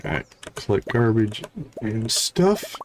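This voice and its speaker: Man streaming casually, lively swinging pitch. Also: slow, a little hiss or hum. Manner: thinks aloud in short fragments; chatty, addresses viewers. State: slight catch in throat, clearing it. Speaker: livestream host, gamer. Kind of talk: casual live game commentary.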